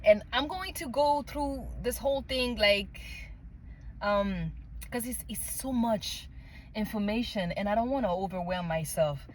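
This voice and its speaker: A woman's voice: mid-range voice, a little slow, slight background noise, poor audio. A woman from France and Mali with a French accent, telling a personal story casually and emphatically, often checking in with the listener. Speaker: spiritual vlogger sharing personal story.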